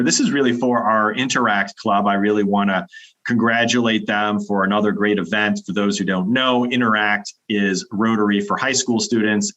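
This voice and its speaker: A man's voice: lowish voice, a bit bright. A man hosting a virtual club meeting, upbeat and welcoming, with frequent fillers. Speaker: meeting host. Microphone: video call. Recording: dull lo-fi video call audio.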